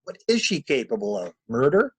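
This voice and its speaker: A man's voice: mid-range voice, sing-song, moderate pace, breathy edge, no echo. A man playing a shrewd, blunt stranger who questions people like a detective in a dramatic mystery reading. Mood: wry. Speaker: amateur reader, library staff.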